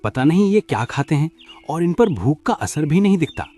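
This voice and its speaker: A man's voice: fairly high pitch, big pitch swings, moderate pace, mellow, husky. A man voicing a suspicious, questioning animal disciple in a cartoon, with a doubting, conspiratorial manner. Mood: curious. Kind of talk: Hindi cartoon story acting.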